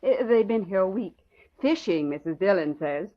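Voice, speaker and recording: An actress playing a chatty, excitable young girl photographer in an old radio drama, with breezy exclamations. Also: mid-range voice, moderate pace, lightly acted. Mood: breezy, gossipy. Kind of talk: 1940s radio acting. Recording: muffled old radio recording.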